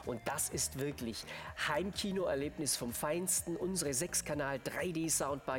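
Male TV shopping co-host, voice a bit above average in pitch, enthusiastic and emphatic live sales pitch. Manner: gushing, emphatic, repeats superlatives. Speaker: TV shopping co-presenter.

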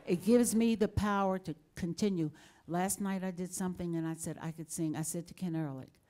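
A woman in her sixties with a very low, dark, mellow voice. She tells stories in a folksy, chuckling way. She speaks into a handheld mic in a large formal hall. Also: fairly even intonation, brisk. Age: 60s. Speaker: panel guest singer. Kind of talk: storytelling on a panel.

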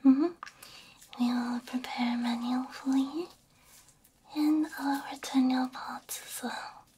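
Breathy whispering woman, slow ASMR roleplay. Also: high-pitched, fairly even intonation. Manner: gentle, soothing, unhurried, soft hums between phrases. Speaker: ASMR VTuber playing a robot repair technician. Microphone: close binaural ASMR microphone.

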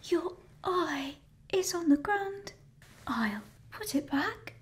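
A woman playing a sweet, eerie doll mender in an ASMR roleplay, cooing slowly in a singsong way. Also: extremely high pitch, animated, a little hiss or hum, pitched above the performer's own voice, heavily acted. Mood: eerily soothing.

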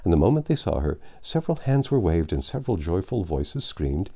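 A man's voice: deep voice, big pitch swings, a little fast, dark tone, slightly rough. A man in his sixties reading a classic novel aloud at home on a lapel mic, giving the characters' lines in a raised voice. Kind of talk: storybook read-aloud narration. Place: home library with bookshelves and armchair.

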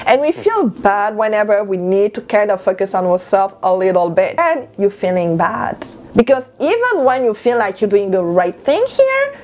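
A woman of about thirty whose first language is French, giving an animated motivational talk to camera in her bedroom and repeating lines for stress. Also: mid-range voice. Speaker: lifestyle vlogger. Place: bedroom at home, indoors.

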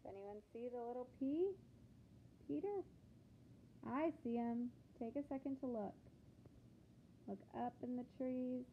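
A woman with a fairly high voice reads a picture book aloud to children, expressively and with deliberate phrasing. Her voice has a clear creak, and she pauses to put questions to her young listeners. The sound is thin and phone-like, with some background noise. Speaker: teacher reading aloud to children.

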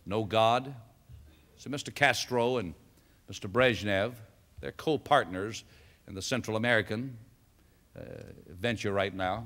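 Bright-voiced preacher in a big hall. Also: male voice. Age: middle-aged. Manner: emphatic preaching, rising to loud exhortation then dropping low and soft. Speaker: pastor. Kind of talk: sermon. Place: large church sanctuary with choir behind. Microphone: stand mic at pulpit. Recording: long reverb of a large hall.

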